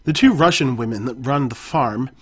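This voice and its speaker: Male storyteller with a husky, mid-range voice; reads an interactive adventure aloud and fixes his own slips. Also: medium pitch, normal speed. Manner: second-person narration, occasional self-corrections.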